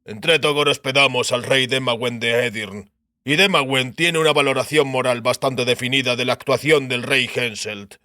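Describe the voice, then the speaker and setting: A man voicing a guarded royal envoy in an audiobook, terse and quietly defiant with dry irony. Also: mid-range voice, normal speed, no echo, natural voice. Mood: wry, guarded.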